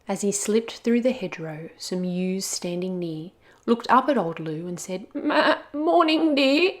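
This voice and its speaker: A woman's voice: lowish voice, moderate pace. A woman narrating a children's picture book expressively, as a read-aloud host.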